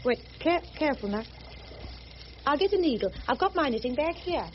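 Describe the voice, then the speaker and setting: An actress playing a prim, sheltered, earnest missionary spinster in a radio drama, with a proper, gently insistent manner. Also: female voice, high voice, lively intonation, moderate pace. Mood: tender, solicitous. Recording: muffled, noisy old radio recording.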